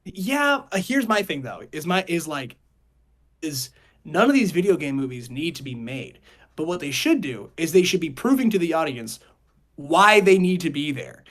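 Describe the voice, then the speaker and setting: A man's voice: a little high, lively intonation, moderate pace, fairly bright tone. A man co-hosting a podcast, giving rambling, casual opinions on entertainment. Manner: rambling, hedging with frequent 'like'. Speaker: podcast co-host. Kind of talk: casual opinionated chat about movies and games.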